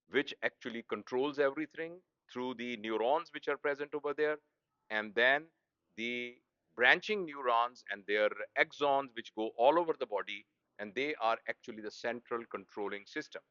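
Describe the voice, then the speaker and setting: A man with a Pakistani accent, a native Urdu speaker, explains things simply as a professor giving a lecture, haltingly rephrasing as he goes. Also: medium pitch, a bit bright, smooth, no echo, dull audio. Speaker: medical professor presenting an educational channel.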